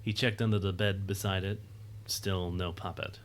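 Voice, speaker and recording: A man's voice: low voice, little pitch movement, moderate pace. A man narrating a scripted audio drama as a third-person storyteller. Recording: faint background noise.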